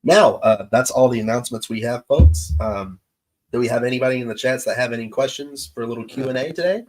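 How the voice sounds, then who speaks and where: A man hosting his show's live stream, chatting casually with viewers in an easygoing, halting way, his voice stuffed up from allergies. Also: mid-range voice, a little mellow, low-quality recording. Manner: easygoing, halting with many pauses. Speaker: show host. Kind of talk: casual livestream chat with viewers.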